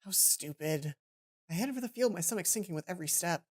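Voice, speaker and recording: A woman's voice: lowish voice, expressive, a little fast. A woman doing a casual let's play, commenting playfully and reading visual novel text aloud. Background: game music behind the voice.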